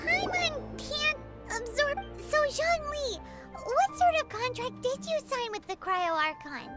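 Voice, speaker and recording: A woman voicing a small, excitable, childlike fairy companion in a video game. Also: very high-pitched, unhurried, loud music behind the voice, exaggerated voice. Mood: indignant. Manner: speaks of self in third person, exclamatory. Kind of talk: video game character voice acting.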